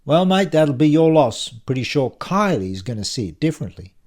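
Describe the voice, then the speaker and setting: A man voicing a cocky, matey male office colleague in an audiobook, pushing his pitch with brash slang. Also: sing-song, normal speed, a little mellow, close to an everyday voice. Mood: scornful.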